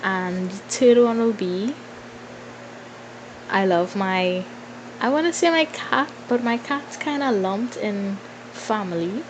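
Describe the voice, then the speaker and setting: A woman's voice: medium pitch, takes it slowly, pauses often, noisy background. A woman in her twenties with a Trinidadian accent chats informally and playfully to followers in a casual Q&A, thinking aloud as she goes. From Trinidad and Tobago. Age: 20s. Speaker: vlogger.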